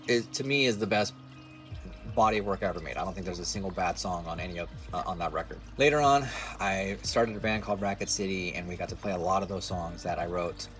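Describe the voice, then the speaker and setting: Forties male vlogger: low, nasal, chatty and self-deprecating, with music playing underneath. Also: quick pace, fairly bright tone. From United States. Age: 40s. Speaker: musician and content creator. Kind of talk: casual talk to camera.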